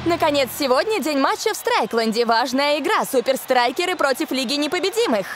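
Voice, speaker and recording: High-voiced female football commentator. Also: animated, fairly quick, music in the background. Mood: keen. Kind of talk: dubbed cartoon sports commentary.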